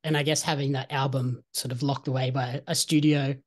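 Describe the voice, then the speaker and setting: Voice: medium pitch, flat delivery, a little fast. An interviewer asking questions in a friendly, encouraging way.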